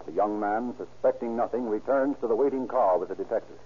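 A man's voice: on the low side, fairly quick, slight background noise. A man giving formal, dramatic scripted narration in the style of an old-time radio announcer. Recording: tinny old radio recording, music behind.

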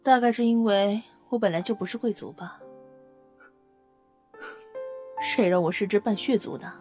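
A woman's voice: medium pitch, brisk, darkish tone, faint music behind, natural voice. A woman playing a brash, fiery, outspoken female vampire scientist in an audio drama, with an emphatic, informal manner. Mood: muttering. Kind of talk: Chinese audio drama acting.

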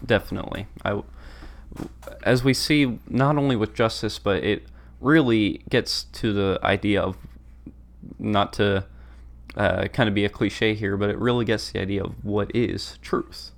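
Low-voiced man, measured explaining. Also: gravelly. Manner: measured, explanatory, a few filler pauses. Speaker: podcast co-host. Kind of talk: casual discussion podcast.

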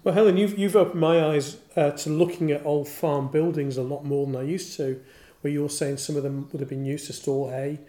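A man's voice: a little high, fairly even intonation, brisk, mellow. A man asking conversational questions as an interviewer, recorded with a roomy, echoing sound.

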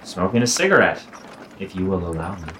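A woman playing an idle, teasing young gentleman in a period audio drama, languid, wry and mock-courteous. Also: a bass voice, sing-song, brisk, full character voice. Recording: roomy echo, faint background noise.

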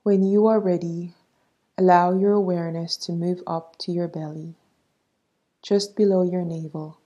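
A woman leading a guided meditation in a soft, breathy voice, soothing and measured as she gives instructions. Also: on the low side, fairly even intonation, dull audio.

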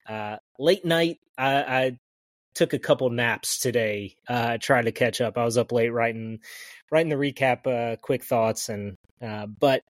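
A man's voice: medium pitch, no echo. Male co-host, rambling and loose, dry close mic, casual sports talk. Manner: rambling, many restarts and fillers. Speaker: podcast co-host. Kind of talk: casual sports podcast chat.

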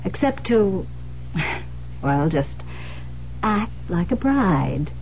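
An actress playing a firm, persuasive investigator in an old-time radio drama, speaking in a deliberate, emphatic manner. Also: female voice, on the low side, big pitch swings, heavily acted. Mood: coaxing, firm. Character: firm, persuasive female investigator recruiting a bait. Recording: muffled vintage radio recording, humming hiss.

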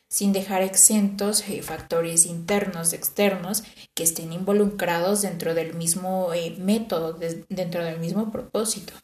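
A woman's voice: medium pitch, a little mellow. Woman lecturing with many fillers, echoey room. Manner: measured, with frequent "eh" fillers and "ujú" affirmations. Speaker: teacher explaining language learning. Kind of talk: spoken explanation, like a lecture.

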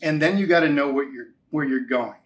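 A man of forty-two with a slightly high voice speaks as a guest in an interview. He gives a conversational testimonial, repeating key phrases for emphasis. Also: a little fast. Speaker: interview guest, financial advisor.

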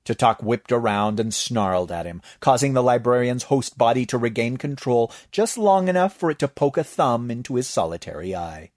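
A man reading a story aloud in measured, scripted narration. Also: medium pitch, animated, no room sound, studio quality. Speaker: story reader.

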